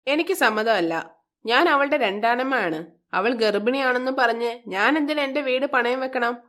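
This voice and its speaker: A woman puts on a middle-aged stepmother from a moral tale, spiteful and scheming. Her fairly high voice scolds and drips with contempt. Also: expressive, moderate pace, a bit bright. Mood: angry refusal.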